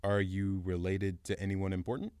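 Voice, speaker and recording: Woman acting a low-voiced, swaggering, sarcastic mobster. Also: level tone, moderate pace, mellow, clean tone. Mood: smug threat. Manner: mocking, swaggering. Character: menacing, sarcastic, vulgar male mobster. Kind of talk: crime comedy audio drama acting.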